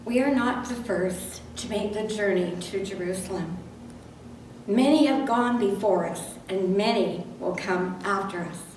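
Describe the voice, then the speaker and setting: An older woman reading liturgy and scripture aloud in a solemn, deliberate way as a lay reader. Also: medium pitch, a little mellow. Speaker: lay reader in church service. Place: medium-sized church sanctuary, indoors. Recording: very echoey church, distant mic, noisy.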